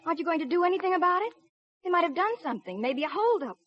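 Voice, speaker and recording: An actress playing an observant, insistent young woman passenger in a 1950s radio drama, speaking briskly and earnestly. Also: very high voice, animated, no echo. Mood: urgent, annoyed. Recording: dull 1950s radio broadcast recording, audience murmur.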